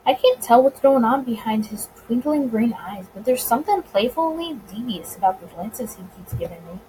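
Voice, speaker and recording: A woman narrates a visual novel as a let's player in a high, lively voice. She reads expressively and now and then trips over a word, with clear background noise. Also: normal speed, poor audio. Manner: stumbles over words and rereads them. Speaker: video game let's player.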